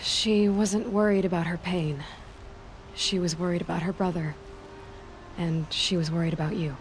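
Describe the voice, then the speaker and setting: A woman's voice: lowish voice, fairly even intonation, normal speed, a little hiss or hum, natural voice. A woman playing a determined, blunt young warrior in a video game, speaking directly and matter-of-factly. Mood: gentle reassurance. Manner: direct, terse, matter-of-fact. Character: determined, blunt young female warrior, guarded but compassionate. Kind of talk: naturalistic video game acting.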